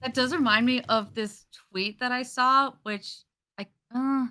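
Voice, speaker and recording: A woman's voice: sits high, steady tone, a little slow, sharp tone. A woman on a panel chatting casually in an informal, wry manner. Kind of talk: casual chat.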